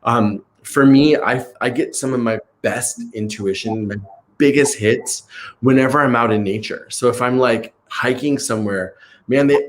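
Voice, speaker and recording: A man born in Chicago whose first language was Italian, a guest who teaches meditation, talking in a relaxed way full of "like" fillers. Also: mid-range voice, a little hiss or hum. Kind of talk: casual conversational interview.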